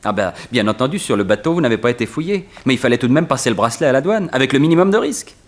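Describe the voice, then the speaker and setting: Man acting gentleman thief, animated mid-range voice, suave, mocking, theatrical, vintage French radio crime drama. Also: mid-range voice, racing, lightly acted. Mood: amused, mocking.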